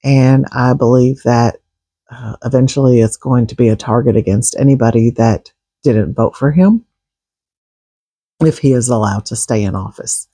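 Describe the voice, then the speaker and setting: A man with a husky, froggy voice from a cold, speaking in a mellow, dark tone. He talks earnestly to his viewers, restarting his sentences often. The sound is dry and close. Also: medium pitch, normal speed, no echo. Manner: earnest, with frequent restarts and coughs. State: getting over a cold, froggy voice. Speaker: vlogger. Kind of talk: casual political commentary to camera.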